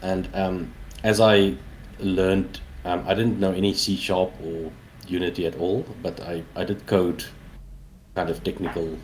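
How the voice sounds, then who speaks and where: Male game developer, forties, South African accent, native Afrikaans, low-pitched, conversational, noise behind him. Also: little pitch movement, measured pace, low-quality recording. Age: 40s. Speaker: interview guest, indie game developer. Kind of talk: casual interview answers.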